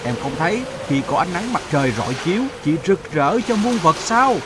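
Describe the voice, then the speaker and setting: A man's voice: a little high, big pitch swings, brisk, background noise. A man voicing a vain elder-sister lake in a cartoon fable, with a theatrical delivery. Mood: haughty. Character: vain, haughty elder-sister lake, a female water spirit.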